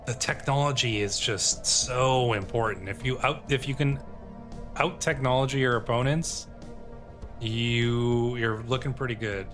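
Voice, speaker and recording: A man's voice: mid-range voice, a little slow. A man giving casual gameplay commentary, thinking aloud tentatively and sometimes reading game text out. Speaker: video game streamer and host. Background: game music.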